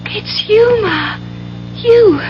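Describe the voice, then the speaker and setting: A shaken, tender young woman in 1970s radio drama. Her high voice wavers across a wide range, heard through muffled vintage sound. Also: lively intonation, very slow, a little hiss or hum, heavily acted. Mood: shaken, relieved.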